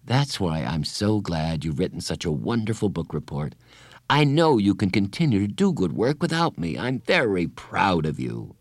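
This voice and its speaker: Man reading as a gentle, patient woman counselor. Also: deep voice, big pitch swings, moderate pace, no room sound, clean studio sound. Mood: gently serious. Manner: gentle, earnest, reassuring. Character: kind, patient young female school counselor, gently encouraging.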